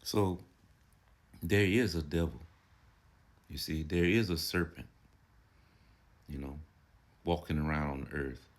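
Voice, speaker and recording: Deep slow-talking preachy man. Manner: repetitive, filler-heavy, rhetorical questions; preachy, argumentative. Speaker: religious commentator. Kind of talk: casual commentary on a clip.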